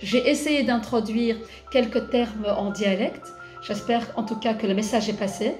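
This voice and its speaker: A woman's voice: on the high side, fairly even intonation, moderate pace, echoey room, over music. A woman in her thirties with a Moroccan accent, a coach explaining things step by step to parents as she writes on a flipchart. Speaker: positive discipline coach. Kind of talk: recorded talk to parents. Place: small office, indoors.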